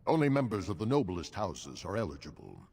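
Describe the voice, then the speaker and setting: An actor playing a discreet dwarf steward in a video game, with a wry, officious manner. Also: male voice, sits low, very animated, a little fast, a little mellow, breathy edge, full character voice. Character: discreet, chatty male dwarf political steward. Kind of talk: video game dialogue acting.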